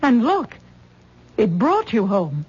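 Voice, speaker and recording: A fretful mother in middle age, in an old radio play. Her voice is slightly husky, and she talks in a coaxing, worried way. The broadcast sounds dull and muffled. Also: a little high, wildly swooping pitch, slow pace, a little mellow, full character voice. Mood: anxious. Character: worried mother of grown daughter Tessa. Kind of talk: 1970s radio drama acting.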